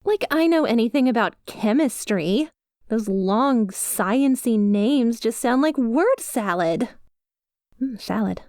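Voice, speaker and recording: A woman acting a ditzy, clear-voiced young failing yandere. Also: a little high, slow pace, no echo, clean studio sound. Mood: embarrassed, exasperated. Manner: chatty, confiding, flustered and expressive. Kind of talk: ASMR roleplay acting addressed to the listener.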